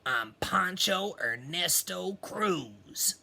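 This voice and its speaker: A man's voice: high-pitched, animated, drawn-out words, vocal fry, pushed and bright, pitched above the performer's own voice, full character voice. A man voicing a friendly, eager male pig percussionist in a storybook.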